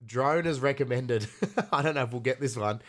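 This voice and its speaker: A man's voice: medium pitch, normal speed, a bit bright. A man with a blocked nose co-hosting a podcast, chatting casually, laughing easily and reading out questions from the chat. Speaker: podcast co-host.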